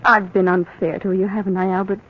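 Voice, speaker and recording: An actress playing a fragile, withdrawn young wife who speaks softly, in 1940s radio acting. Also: female voice, mid-range voice, little pitch movement, brisk, a little hiss or hum, close to an everyday voice. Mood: gentle. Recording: dull old radio recording.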